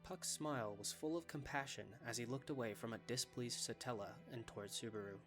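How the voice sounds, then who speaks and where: A man with a medium-pitched voice reads scripted story narration as the narrator of an audio drama. Faint music plays behind him. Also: brisk, a bit bright.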